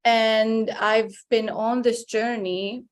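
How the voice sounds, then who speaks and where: A woman's voice: on the high side, flat delivery, slow pace. Earnest woman coach. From Romania. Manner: earnest, encouraging, speaks directly to listeners. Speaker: guest, intimacy coach. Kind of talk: reflective interview answers.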